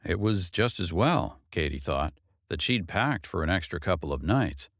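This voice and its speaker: Deep-voiced man narrating a novel, expressive range. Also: moderate pace, no echo, studio quality. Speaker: audiobook narrator.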